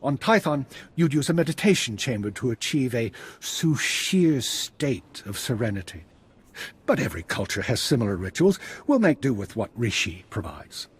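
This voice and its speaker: An eccentric Jedi master from a video game. His voice is very husky and rough, swinging animatedly up and down as he mentors with theatrical flair and the weight of someone who sees what is coming. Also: mid-range voice, very animated, normal speed. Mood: instructive, enthusiastic. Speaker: Jedi master guiding a student. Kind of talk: scripted video game dialogue.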